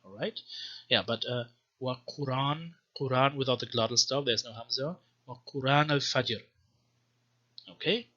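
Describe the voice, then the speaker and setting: A man giving an explanatory language lesson, reading text samples aloud in a careful, hesitant, word-by-word manner with pauses. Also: mid-range voice, pushed and bright, muffled recording. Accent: non-native Arabic accent when reading Arabic. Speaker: language teacher, presenter of a video series.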